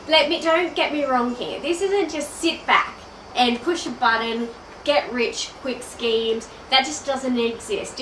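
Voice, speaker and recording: An Australian woman in her thirties speaks to camera with a high voice and a blocked, stuffy nose from a cold. She chats straight to the viewer like a coach. The sound is roomy and echoey, and she sits a little back from the mic with a faint hiss behind her. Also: fairly bright tone. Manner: chatty, addresses the viewer directly. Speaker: online business coach. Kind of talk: direct-to-camera video message. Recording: roomy, a bit distant from the mic.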